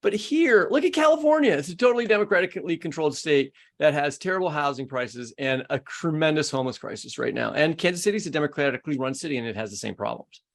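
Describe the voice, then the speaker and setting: Higher-voiced American man, rambling podcast host. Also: animated, quick pace, hardly any pauses. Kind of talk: conversational interview questioning.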